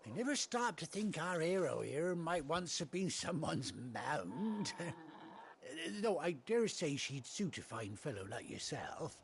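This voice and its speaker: An actor playing a chatty, kind-hearted rustic trader in a video game, with a British accent and a folksy, hearty, colloquial way of talking. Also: male voice, on the high side, sing-song, slow pace, slight background noise, exaggerated voice. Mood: curious, jovial. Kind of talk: video game cutscene acting. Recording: game music behind the voice.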